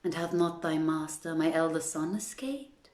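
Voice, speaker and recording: A woman's voice: low-pitched, lively intonation, normal speed, darkish tone, reverberant. A woman voicing an anxious, distraught father and master in a storybook tale, with a dramatic delivery. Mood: anxious questioning.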